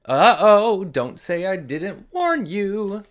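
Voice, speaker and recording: Animated man reading a children's picture book. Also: high voice. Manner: dramatic emphasis, drawn-out key words, casual asides to young listeners. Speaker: host of a children's read-along story series. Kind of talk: expressive picture-book read-aloud for children.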